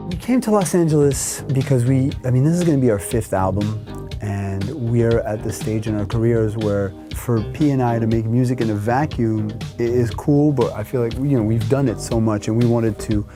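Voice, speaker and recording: A man's voice: medium pitch, moderate pace. A man in his thirties casually touring his wood-panelled music studio, rambling and gesturing as he talks. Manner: relaxed, rambling, gestures with hands while talking. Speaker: musician giving a studio tour. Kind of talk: casual chat.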